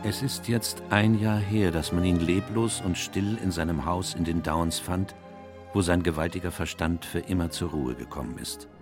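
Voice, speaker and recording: An actor playing a reflective, loyal elderly doctor narrating his memoirs in a measured, literary way in a radio play. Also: sits low, lightly acted. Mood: mournful. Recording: music under the voice.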